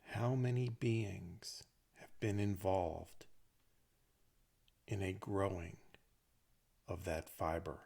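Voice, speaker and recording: A man leading a guided meditation, speaking gently in short phrases with long pauses between them. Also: low voice, fairly even intonation, creaky. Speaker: meditation teacher.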